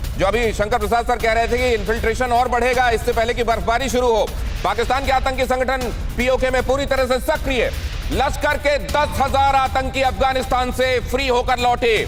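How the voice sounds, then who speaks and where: A man with an Indian accent presenting television news dramatically and emphatically, repeating phrases for effect. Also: very high voice. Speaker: TV news anchor. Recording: loud dramatic music bed under voice. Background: news music.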